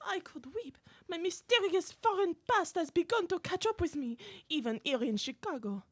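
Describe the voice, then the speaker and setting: A woman acting as a grand, theatrical lady in a comedy radio serial. The voice sits high and is recorded dry and close. She speaks as a proud secretary with a mysterious past. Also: lively intonation, moderate pace, dry recording. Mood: proud, wounded.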